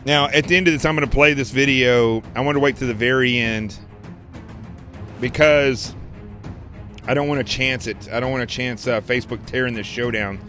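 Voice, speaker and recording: A man hosting a political commentary livestream, preaching emphatically and repeating phrases, his voice going hoarse. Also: medium pitch, steady tone, normal speed, sharp tone, soft background music. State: losing his voice. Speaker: show host.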